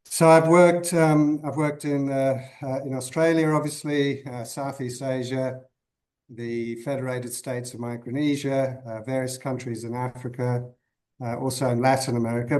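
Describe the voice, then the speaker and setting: Man, 50s, from Zimbabwe, podcast guest, level monotone delivery, measured, halting. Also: medium pitch. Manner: thoughtful, many fillers and restarts. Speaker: guest conservationist. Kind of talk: conversational podcast interview.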